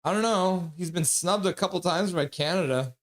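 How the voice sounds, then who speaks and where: A man hosting a live stream, calling the action in casual play-by-play and reading chat messages aloud. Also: sits high. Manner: reads chat messages aloud and chats back; quick, offhand running description of the action. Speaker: livestream host.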